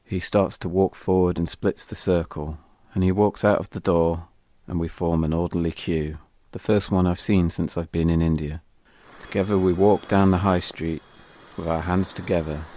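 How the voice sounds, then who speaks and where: A man's voice: deep voice, fairly even intonation, moderate pace, dark tone. A British man telling a scripted first-person story as an audio drama narrator, wry and confessional, with music behind him.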